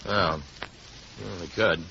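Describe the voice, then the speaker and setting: An actor playing a breezy, easygoing boyfriend in a casual manner in a 1950s radio drama. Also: male voice, deep voice, fairly even intonation, slow, creaky, bright, forceful tone, full character voice. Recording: dull, noisy old radio recording.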